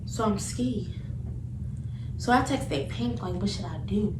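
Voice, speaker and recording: A woman's voice: mid-range voice, unhurried. Young woman telling a story, roomy noisy recording. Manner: animated, slangy, repetitive retelling. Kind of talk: casual storytelling chat. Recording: roomy, distant mic, noticeable background noise.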